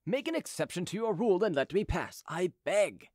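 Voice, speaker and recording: A courteous gentleman fugitive, acted out by a male narrator in storybook style. He is persuasive and evasive, with a raised, animated pitch and a dry, close sound. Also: moderate pace, no room sound. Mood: polite insistence. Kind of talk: audiobook character dialogue.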